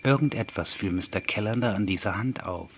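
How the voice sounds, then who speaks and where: A male narrator with a fairly low voice reads an eerie literary story. The delivery is scripted audiobook narration, and the recording carries clearly audible noise. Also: fairly quick, a bit bright.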